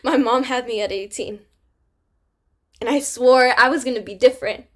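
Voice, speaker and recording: An actress reading the part of a grieving young pregnant woman, speaking haltingly and dropping to near whispers. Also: fairly high pitch, natural voice. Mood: desperate. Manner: halting, trailing into quiet, near-whispered confessions. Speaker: actor in a staged play reading. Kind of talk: staged play reading.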